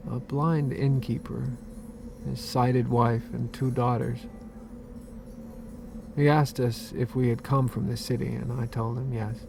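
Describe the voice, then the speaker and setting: Slow, gravelly, haunted survivor. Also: male voice, medium pitch. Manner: slow, hesitant, understated. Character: haunted, subdued American war survivor recalling horrors. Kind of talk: radio drama acting.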